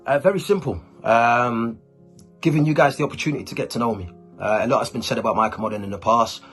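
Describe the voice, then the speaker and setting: A man's voice: medium pitch, fast, a bit bright, breathy edge, faint music behind. A man from Germany with Ghanaian roots, a YouTuber talking casually and informally, with urban slang, as he answers questions to camera. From Ghanaian, born and raised in Germany. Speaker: YouTuber, host.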